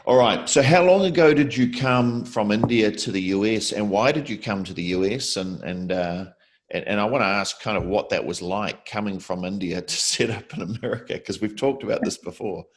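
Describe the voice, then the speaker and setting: Deep-voiced chatty male interview host. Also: moderate pace, slight background noise. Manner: chatty, rambling, many fillers and restarts. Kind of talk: video call interview.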